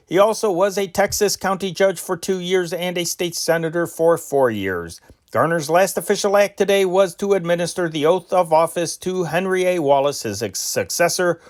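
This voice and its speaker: A man reading old newspaper dispatches aloud in an unpolished, measured way, with occasional slips. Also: a little high. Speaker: news reader.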